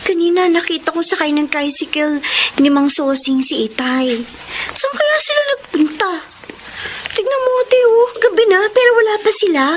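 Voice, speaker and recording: A woman's voice: very high voice, lively intonation, moderate pace. An actress playing a curious young daughter who worries about her father and wants to spy on him. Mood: eager. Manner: eager, worried. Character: curious young daughter Ada, amateur sleuth. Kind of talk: classic radio drama acting. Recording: noisy old radio drama recording.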